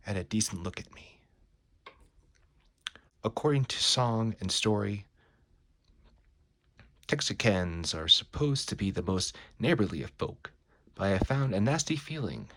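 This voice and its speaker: A man's voice: fairly low pitch, pushed and bright, full character voice. A man voicing a wary, wisecracking superhero who thinks aloud in an anxious, colloquial mutter, as in a comic book reading. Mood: wry, uneasy. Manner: muttering self-talk, colloquial exclamations.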